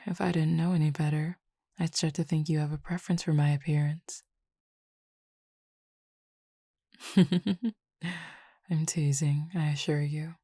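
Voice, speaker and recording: Woman acting out a composed supernatural cupid, formal, gravelly, flat delivery, dry close sound. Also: sits low, no echo. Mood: teasing. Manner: precise, formal wording, measured and unhurried, soft asides. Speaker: voice actor in an audio roleplay. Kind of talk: audio roleplay addressed to the listener.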